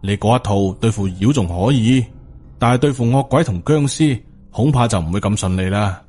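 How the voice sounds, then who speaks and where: A man's voice: on the low side, moderate pace, mellow, husky. A male storyteller acts out a brooding Taoist master turned undead, short-tempered yet protective. He speaks Hong Kong Cantonese with stern, weighty emphasis, as in a supernatural audiobook, with music underneath. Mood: stern warning.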